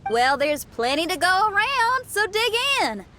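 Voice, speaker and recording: A woman voicing a lively, friendly young woman in a video game, upbeat and casual. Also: very high-pitched, measured pace, full character voice. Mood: upbeat, hospitable. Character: lively, friendly, generous young female camper and cook. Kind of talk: video game character acting.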